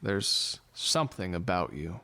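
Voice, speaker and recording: Low-voiced, deadpan, philosophical man. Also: measured pace, exaggerated voice. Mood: tentatively romantic. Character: gloomy philosophical ship's man, fatalistic and slow to romance. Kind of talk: audio drama acting.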